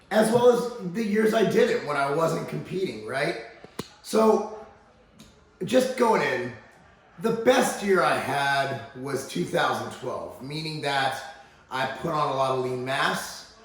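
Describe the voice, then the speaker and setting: An American man with a slightly hoarse voice talking casually and emphatically to viewers as a fitness vlog host. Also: on the high side, a bit bright. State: slightly hoarse, voice recovering from laryngitis. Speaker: fitness channel host and supplement executive. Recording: very echoey, reverberant room.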